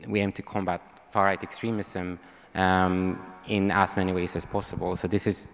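A man's voice: sits low, level tone, normal speed. A young man from Sweden with a Swedish accent, giving a hesitant panel talk with lots of ums, speaking into a handheld mic on a stage. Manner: hesitant, many ums and restarts. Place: large hall, on stage. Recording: long hall reverb.

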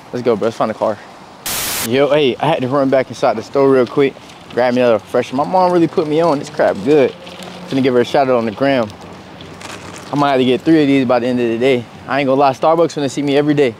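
A man's voice: mid-range voice, a little hiss or hum. Laid-back young man vlogging on the move. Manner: relaxed, slangy, addresses viewers directly. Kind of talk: casual vlog chat. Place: outdoors in parking lots and inside a big store.

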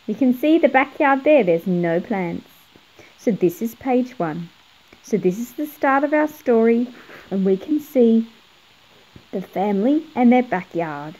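A woman's voice: fairly high pitch, lively intonation, measured pace, mellow, poor audio. A middle-aged woman narrating a picture book for children, explaining things and putting questions to young viewers. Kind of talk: read-aloud narration for children.

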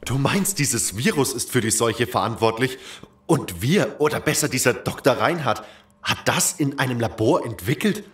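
A man's voice: medium pitch, animated, moderate pace, a bit bright, natural voice. An actor playing a sober, uneasy male survivor in a horror audio drama. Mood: disbelieving.

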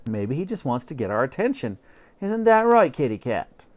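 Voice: mid-range voice, big pitch swings, normal speed, natural voice. A teacher reading aloud and voicing a mild father who explains things patiently. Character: mild, reasonable family father.

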